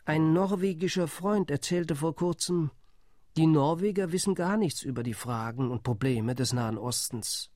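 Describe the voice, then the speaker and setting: A man's voice: on the high side, normal speed, darkish tone, no room sound, clean studio sound, lightly acted. An actor playing an indignant male delegate, arguing firmly in a radio play monologue.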